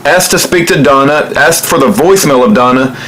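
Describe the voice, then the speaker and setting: A man's voice: a little high, fairly quick, fairly bright tone, rough recording. A man speaking in an earnest, polite and pleading way, like someone leaving an appeal by phone. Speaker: activist making and sharing a phone appeal.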